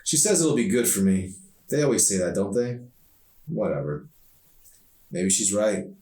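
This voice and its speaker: A man's voice: on the low side, expressive, normal speed, dark tone. A man voicing a chatty, opinionated, frustrated teenage girl in slangy, emphatic storybook dialogue. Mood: exasperated. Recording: roomy echo, distant microphone.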